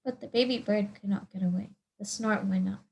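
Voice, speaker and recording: A woman with a medium-pitched voice reads a picture book aloud for storytime. She narrates as a volunteer, in simple, clear phrases. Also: fairly even intonation, fairly quick, a little mellow.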